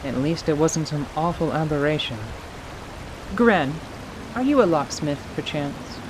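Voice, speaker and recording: Casting: man playing sardonic tiefling party boss, fantasy radio theatre, formal flourishes, fairly high pitch, wet background. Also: unhurried, noisy background. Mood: wry. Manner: wry, florid, formal. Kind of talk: fantasy radio drama acting. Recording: steady rain and stream ambience behind.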